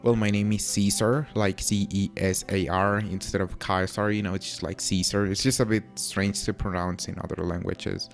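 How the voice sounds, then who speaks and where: A young Mexican man with a Spanish-tinged accent that carries a few British vowels, his voice sitting low. He talks in an easygoing, rambling way, full of fillers and self-mocking asides. Faint music plays quietly under him. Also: moderate pace, vocal fry, few pauses. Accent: Mexican with British touches. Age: young adult. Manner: relaxed, chatty, self-deprecating with frequent fillers. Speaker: YouTuber. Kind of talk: casual Q&A to camera.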